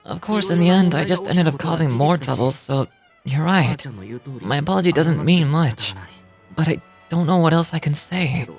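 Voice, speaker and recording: An earnest young video game hero, acted by a man, remorseful yet determined, speaking as a dutiful grandson. Music plays clearly behind him. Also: a little high, normal speed, lightly acted. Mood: remorseful, sincere.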